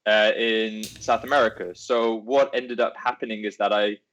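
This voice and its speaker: A man's voice: fairly low pitch, little pitch movement, normal speed, a bit bright. Young male guest, muffled call audio. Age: 20s. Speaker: guest, young trainee engineer. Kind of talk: casual chat on livestream. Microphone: video call microphone. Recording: dull lo-fi online call audio.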